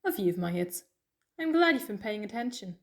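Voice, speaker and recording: Clever, coaxing heroine female performer, mid-pitched, conversational and teasing, for an audio drama about an alien traveller. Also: very animated, a little fast, vocal fry. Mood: wry, cool. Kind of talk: fan audio drama acting.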